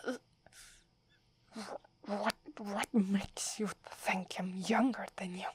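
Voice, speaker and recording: A woman's voice: mid-range voice, fairly even intonation, takes it slowly, slight background noise, natural voice. A woman with an Italian accent voicing a prickly, proud sixteen-year-old female werewolf in a whispered ASMR roleplay. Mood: flustered. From Italy. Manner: whispering, haughty and scolding.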